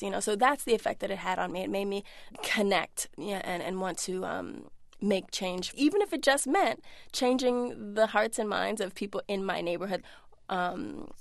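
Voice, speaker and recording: A woman's voice: medium pitch, gravelly, no room sound, clean studio sound. An actress in her thirties from the United States, talking candidly and reflectively in a remote interview and acting out voices when she quotes people. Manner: animated, acts out quoted voices. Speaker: actress and guest. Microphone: studio remote link.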